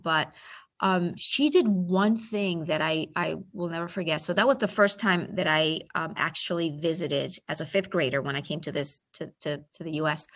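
A woman from Puerto Rico, a school superintendent, telling stories in a chatty interview. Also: lowish voice. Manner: chatty, warm storytelling with fillers. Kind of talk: casual interview.

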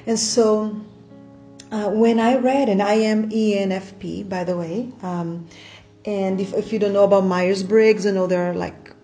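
A woman's voice: mid-range voice, warm tone. A woman from Brazil with a Brazilian Portuguese accent, hosting a faith podcast in an enthusiastic, chatty way, laughing often. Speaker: podcast host. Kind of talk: casual faith podcast monologue.

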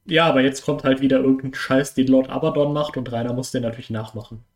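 A man's voice: mid-range voice, steady tone, fast talker. Guy making fun of a clip with his friends, ordinary mid voice. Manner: mocking, teasing commentary. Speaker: commentator reacting to a video. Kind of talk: casual chat.

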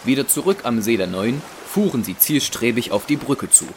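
A man's voice: mid-range voice, big pitch swings, normal speed, a little hiss or hum, close to an everyday voice. A man as the narrator of a heroic saga, reading scripted, solemn audio drama narration. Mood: descriptive.

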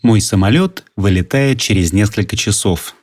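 A man's voice: on the low side, measured pace. Man explaining a language lesson method. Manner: calm, methodical instructions. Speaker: language teacher. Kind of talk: instructional explanation.

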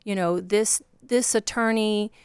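A woman's voice: mid-range voice, fairly even intonation, unhurried, a little mellow, smooth, no echo. A woman from Virginia with a Southern accent speaking earnestly as a guest in a casual podcast chat. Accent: Southern American, Virginia. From Virginia, USA. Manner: earnest, heartfelt, with many restarts. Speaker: guest, divorce coach.

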